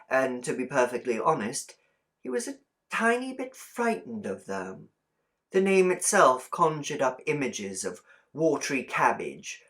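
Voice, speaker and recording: A man narrates a piece of fiction for an audiobook. His voice sits at a middle pitch. He reads from the text in an expressive, deliberate storyteller's manner, shaping the phrases as he goes. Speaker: audiobook reader.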